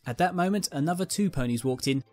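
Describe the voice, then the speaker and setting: A woman's voice: low voice, lively intonation, fast talker, darkish tone, faint music behind. A woman narrating a scripted fan fiction story as its reader.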